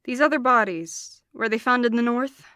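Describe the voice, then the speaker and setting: A woman's voice: a little high, normal speed, pushed and bright, no room sound. A woman playing a blunt, coarse-tongued young knight investigating murders in a fantasy audio drama. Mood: businesslike. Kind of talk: fantasy audio drama acting and first-person narration. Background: music.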